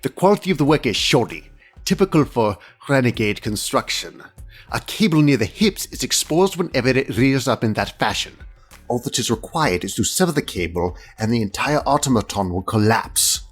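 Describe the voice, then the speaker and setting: A man's voice: mid-range voice, expressive, normal speed, slightly rough, faint music behind. A man voicing a fussy, exacting senior inspector in a fantasy audio drama, speaking formally and precisely with cool superiority. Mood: precise instruction.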